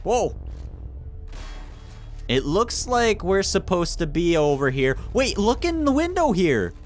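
A young man playfully hosting a group gameplay roleplay, excitable, bossy and theatrical. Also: high-pitched, takes it slowly, soft background music. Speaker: gaming video host, leading a group roleplay.

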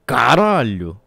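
A man's voice: fairly high pitch, creaky, sharp tone, dry recording. A Brazilian man giving casual, profane game commentary in an exasperated, complaining way. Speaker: gaming video host. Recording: music behind the voice.

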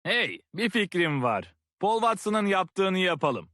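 An actor playing a mischievous, excitable boy, eager for adventure, in a dubbed cartoon. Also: high voice, slow pace, dry recording, studio quality, heavily acted. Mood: eager, amused. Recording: music behind the voice.

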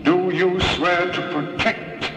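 A man playing a solemn alien high official in an audio drama, proclaiming formally and deliberately as at an inauguration. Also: fairly even intonation, over music. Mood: solemn, ceremonial. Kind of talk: ceremonial audio drama acting. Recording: distant, echoing hall with long reverb.

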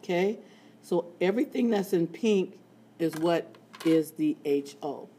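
A woman teaching a statistics lesson in a screencast, with a raspy voice and playful, chatty asides. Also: fairly low pitch, expressive, unhurried, mellow, a little hiss or hum. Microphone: tablet screen recording.

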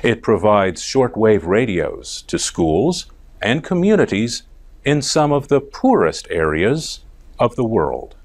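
A man's voice: on the low side, sing-song, slow pace. A middle-aged American man presenting a scripted news report for English learners, enunciating carefully phrase by phrase. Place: TV studio.

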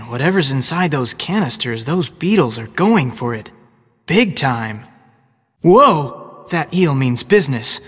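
An upbeat young adventurer in a video game. The voice is fairly high and animated, with a dry, close sound. He speaks casually, with boyish exclamations. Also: slightly rough, no room sound, full character voice. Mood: excited. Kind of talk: video game character acting.

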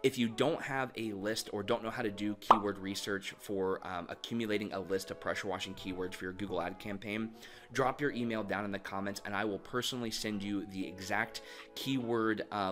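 A man with a fairly low voice explains advertising tips to camera in a relaxed, conversational way, leaning on "you know" and "um" as he talks. Music plays clearly underneath him throughout. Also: fairly quick. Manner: conversational, frequent "you know" and "um" fillers, direct advice to viewers. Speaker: marketing agency owner giving advice.

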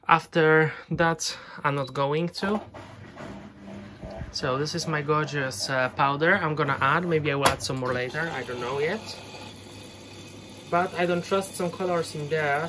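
Slow, hesitant man narrating laundry. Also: fairly high pitch, noisy background. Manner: unhurried, hesitant, chatty explaining. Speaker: laundry vlogger. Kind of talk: casual narration while doing laundry. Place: home laundry area.

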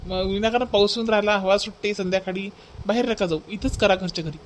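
A man playing a high-voiced, bossy cartoon husband. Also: quick pace, slight background noise, natural voice. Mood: cautioning. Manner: advising, cautioning. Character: cautious husband, gently bossy family man. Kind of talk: cartoon family comedy dubbing.

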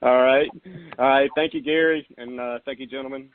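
A man with a mid-pitched voice chairs a formal council meeting. His voice comes through a thin, narrow phone line. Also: fairly even intonation, fairly quick, a little hiss or hum. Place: conference call. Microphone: telephone.

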